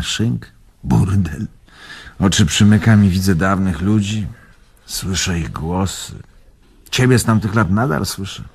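An actor playing a spirited, fond old antique collector in a radio drama, reminiscing in a theatrical, effusive way. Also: male voice, lowish voice, darkish tone, husky, heavily acted. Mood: nostalgic, admiring. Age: older.

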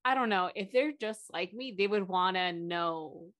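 A woman's voice: medium pitch, measured pace, fairly bright tone. A young woman chatting casually as a podcast co-host. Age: young adult. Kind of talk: casual chat. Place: small bedroom at home, video call.

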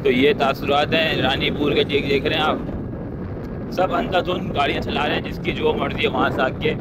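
A man's voice: fairly high pitch, fairly even intonation, brisk. Pakistani man vlogging over noisy roadside. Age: young adult. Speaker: travel vlogger. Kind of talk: casual vlog chat. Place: outdoors at roadside workshops and in a parked car. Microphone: handheld phone. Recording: noisy roadside with vehicle and wind noise.

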